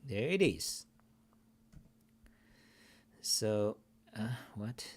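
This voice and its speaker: Middle-aged male host, deep and unhurried, rambling, profane, grumbling. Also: sing-song, slightly rough. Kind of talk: casual screen-share talk show. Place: home desk, indoors.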